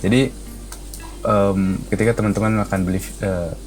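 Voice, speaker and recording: A man's voice: on the low side, fairly even intonation, moderate pace, darkish tone, faint music behind. A man with an Indonesian accent giving a casual, chatty gadget review to viewers, explaining things informally. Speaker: product reviewer.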